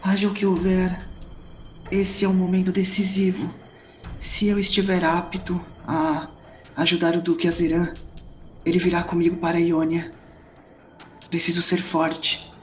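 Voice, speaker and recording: A man's voice: sits high, completely flat delivery, measured pace, pauses often, slight background noise, pitched above the performer's own voice. A man voicing a small, earnest, resolute spirit-sensing creature who speaks in a solemn, formal, urging way. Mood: solemn resolve. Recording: roomy echoing voice over music.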